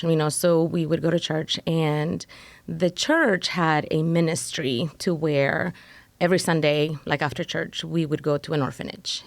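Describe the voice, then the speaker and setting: A middle-aged woman from El Salvador, telling her story in a relaxed interview and filling her talk with "you know.". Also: low voice, gravelly, no echo. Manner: rambling, many fillers like "you know". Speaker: interview guest, foster mom. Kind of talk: casual conversational interview.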